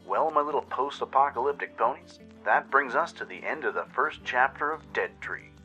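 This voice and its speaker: A man's voice: medium pitch, lively intonation, normal speed, bright, forceful tone, soft background music, low-quality recording. A man giving dramatic scripted narration of a story, as the host of a radio-style show.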